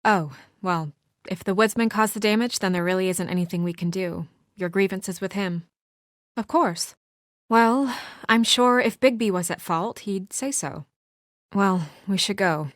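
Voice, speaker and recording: A woman's voice: medium pitch, measured pace, dry recording, clean studio sound, close to an everyday voice. A woman playing an earnest, businesslike young woman with office authority and a dryly exasperated edge, in naturalistic video game acting. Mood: formal, firm.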